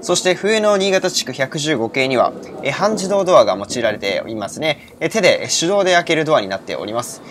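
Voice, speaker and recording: Young man, lively railway vlogger, noisy background. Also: on the high side, fast, hardly any pauses. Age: early 20s. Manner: informative, detailed railway-fan explanations. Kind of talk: vlog narration with explanations. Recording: loud train and station noise.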